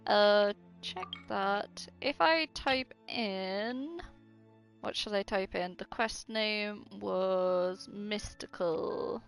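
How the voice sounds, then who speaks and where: A woman's voice: medium pitch, very slow, clean tone, soft background music. A woman chatting casually and informally as she streams her gameplay, swearing freely. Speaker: game streamer. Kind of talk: casual live gameplay chat.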